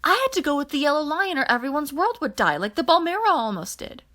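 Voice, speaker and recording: An excitable young man voiced by a woman reader blurts out his words in a high voice. He is loud and emphatic, a space adventurer in an audiobook. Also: fast. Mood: startled, excited.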